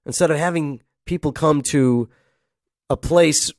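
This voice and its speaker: A man's voice: fairly high pitch, unhurried, a little mellow, dry recording, clean studio sound. A man hosting a casual solo podcast, sounding nasal from a head cold, rambling and chuckling as he talks. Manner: rambling, chuckles often, acts out phone calls. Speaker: podcast host.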